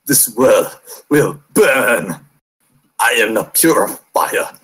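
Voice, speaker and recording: A man acting a husky, slow, wrathful purifier. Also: mid-range voice, lively intonation, fairly bright tone, heavily acted. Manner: ominous, solemn. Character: grim, wrathful fiery male purifier. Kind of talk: character voice acting audition.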